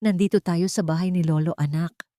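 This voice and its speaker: A woman's voice: fairly low pitch, fairly even intonation, fairly quick, mellow, no echo, clean studio sound, natural voice. Woman acting a tender, wistful Filipino widowed mother. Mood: gentle, warm. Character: tender widowed young mother, gentle and loving.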